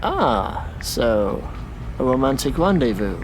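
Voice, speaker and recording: Man acting casual taxi driver, middling pitch. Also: sing-song, takes it slowly, music in the background. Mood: friendly, casual. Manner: casual, conversational, hesitant. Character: easygoing but disapproving, opinionated taxi driver. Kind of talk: science fiction audio drama acting.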